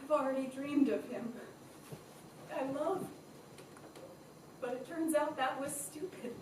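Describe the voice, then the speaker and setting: A despairing young woman, tired of dull work, pours out her anguish in a high voice, as an actress plays her on stage. Her slow, unhurried delivery rings through a very echoey hall, picked up from a distance with faint hiss. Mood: wistful. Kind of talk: stage play acting. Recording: echoey stage recording from far off.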